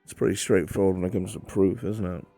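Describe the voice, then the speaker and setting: A man playing a cynical, blunt imperial official in improvised fantasy roleplay, speaking in a dry, measured way with a gravelly voice and frequent throat-clearing. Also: deep voice, steady tone, dark tone, faint music behind. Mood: grim, reasoning.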